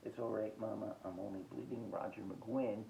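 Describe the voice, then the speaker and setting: Low gravelly man, casual reviewer. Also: steady tone, mellow, slight background noise. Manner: halting, listing titles with blunt short verdicts. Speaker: host of a music collecting podcast. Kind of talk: casual rambling record collection review.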